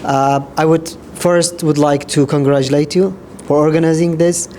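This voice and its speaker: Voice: medium pitch, fairly even intonation, moderate pace, dark tone, a little hiss or hum. A round-table participant giving formal, courteous comments to the panelists. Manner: polite, formal, addresses panelists directly. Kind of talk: panel discussion remarks.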